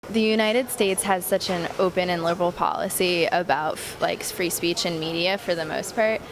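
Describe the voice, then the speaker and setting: Young woman from california, lowish voice, thoughtful, noisy street recording. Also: steady tone, normal speed. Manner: thoughtful, uses fillers. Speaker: interviewee, foreign student. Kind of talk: street interview answers.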